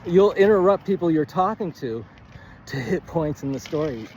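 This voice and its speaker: Against a noticeable backdrop of outdoor traffic noise, a man with a slightly high voice teaches informally as a writing coach. He rambles and stammers, restarting his thoughts and drifting into digressions as he thinks out loud. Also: expressive, normal speed. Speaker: writing coach, presenter of a video series. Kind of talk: rambling informal lesson to camera.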